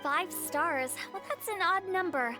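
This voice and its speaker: A woman's voice: extremely high pitch, unhurried. A woman playing a young, eccentric funeral director, theatrical and playful, in a video game cutscene. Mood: exuberant. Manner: theatrical, mischievous, salesy. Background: game music.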